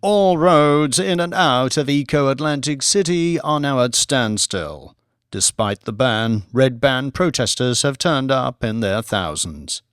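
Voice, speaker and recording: A man's voice: medium pitch, animated, unhurried, fairly bright tone, clear, resonant tone, no room sound, studio quality. A man voicing a male TV correspondent giving a formal, urgent live news report in an audiobook.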